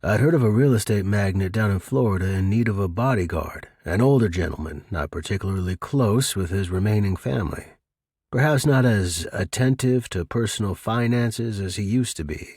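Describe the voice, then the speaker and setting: A weary middle-aged tough guy played by a male reader tells his own noir story in a very deep, husky voice. He is wry and clipped in a hardboiled way, with tender regret underneath. The recording is dry and close. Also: normal speed, a little mellow, dry recording. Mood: rueful, wry. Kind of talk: first-person noir audiobook narration.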